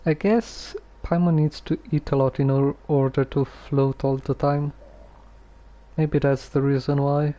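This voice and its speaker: A male game streamer with a mellow, slightly high voice. He thinks out loud in casual, halting commentary, taking his time over every phrase. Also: steady tone. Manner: halting, musing aloud.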